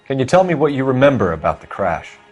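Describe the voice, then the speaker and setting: A man voicing an earnest, probing police detective in a video game, measured, formal and pressing. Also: medium pitch, big pitch swings, breathy edge, muffled recording. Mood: serious. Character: earnest, probing male police detective, stern but controlled.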